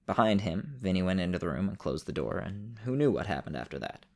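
A man with a creaky voice, speaking as the storyteller and host of an audio drama show. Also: low-pitched, very animated, a little fast. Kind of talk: narration and casual host talk.

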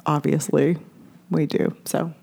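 Lower-pitched, chatty American woman in her forties. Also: flat delivery, slow pace, vocal fry, darkish tone. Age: 40s. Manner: chatty, candid, profane. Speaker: podcast co-host. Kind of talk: casual chat.

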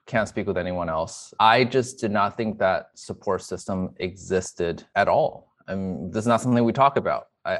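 A man giving a reflective, earnest personal account as an interview guest. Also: on the low side. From United States immigrant family from China. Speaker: guest, emergency physician.

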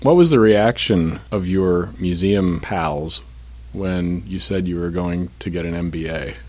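Male host, lower pitch, easygoing interviewer who chimes in with short acknowledgments. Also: measured pace, darkish tone. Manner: short backchannels, easygoing questions. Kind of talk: casual interview.

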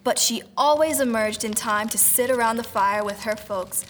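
Woman as fairy-tale narrator, whimsical, scripted, fairly high voice, soft music behind. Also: moderate pace, close to an everyday voice.

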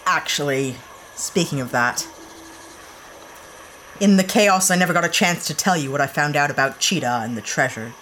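A woman's voice: deep voice, normal speed, pauses often, a bit bright, a little hiss or hum, low-quality recording. A woman playing a blunt, sarcastic space adventurer who trades insults in an audio drama. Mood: brisk. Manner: curt and sarcastic, snaps insults.

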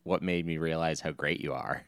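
A man's voice: very deep voice, fairly even intonation, normal speed, fairly bright tone, dry recording, clean studio sound. A man in his thirties co-hosting a podcast with his wife, easygoing and joking as he tells stories. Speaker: podcast co-host. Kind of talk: casual chat.

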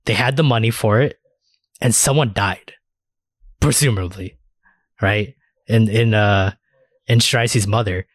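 A man's voice: fairly low pitch, measured pace, pauses often, no echo, clean studio sound. A man hosting a casual anime discussion podcast, chatting informally with plenty of filler words and swearing. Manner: informal, profanity-laced, filler-heavy talk. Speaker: podcast host.

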